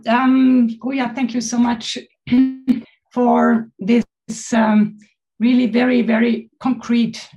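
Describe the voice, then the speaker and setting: A woman on an academic panel thanking the speaker and asking a question, measured and thoughtful with pauses. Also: a little high, creaky, a little mellow, rough recording. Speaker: panel discussant.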